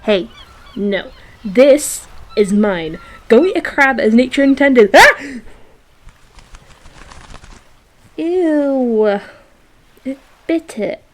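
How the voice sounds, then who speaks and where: A woman's voice: high voice, expressive, slow. A woman acting a playful, affectionate girlfriend in a romantic audio roleplay, with a theatrical, teasing manner. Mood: indignant, grossed out. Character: playful, dramatic, affectionate girlfriend on a beach picnic. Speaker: voice actor.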